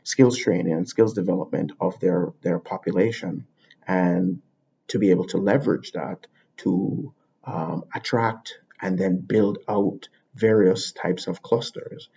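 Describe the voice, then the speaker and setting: A man's voice: deep voice, a little slow, vocal fry, mellow, breathy edge. A man from Jamaica, hosting a podcast and explaining ideas in an unscripted monologue, thinking aloud and circling back over his words. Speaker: podcast host. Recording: dull lo-fi recording.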